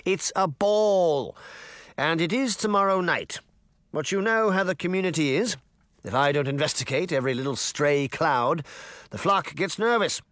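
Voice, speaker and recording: Male narrator doing a pompous bully mayor: high, swooping, condescending, in a dry close recording. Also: animated, moderate pace, a bit bright, no echo. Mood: sneering.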